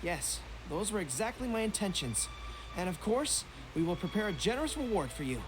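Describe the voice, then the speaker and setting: Young game general, earnest n polite, formal delivery, noticeable background noise. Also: male voice, high voice, sing-song, fairly quick. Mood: earnest, businesslike. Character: Goro, young male general, earnest, courteous and dutiful.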